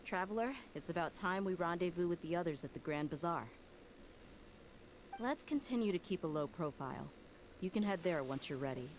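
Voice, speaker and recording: Actress as an easygoing young game heroine, lowish female voice, shrewd, bit of background noise. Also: lively intonation, fairly quick, close to an everyday voice, low-quality recording. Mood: brisk. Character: easygoing, unambitious but shrewd young woman. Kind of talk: video game voice acting.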